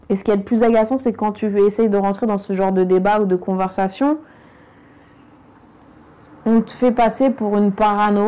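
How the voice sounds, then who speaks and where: A woman's voice: medium pitch, mellow. A woman from France, talking frankly and emphatically in a casual interview. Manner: frank and emphatic, speaking directly to the listener. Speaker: documentary interviewee.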